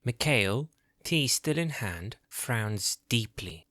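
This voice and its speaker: A man's voice: medium pitch, lively intonation, slow pace, sharp tone, no room sound. Dry, dramatic male fantasy narrator.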